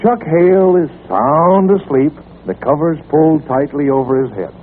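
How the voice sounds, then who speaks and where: A man's voice: on the high side, moderate pace. A man with an American accent announcing and narrating in an emphatic, dramatic 1940s radio style. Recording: tinny, noisy 1940s radio recording.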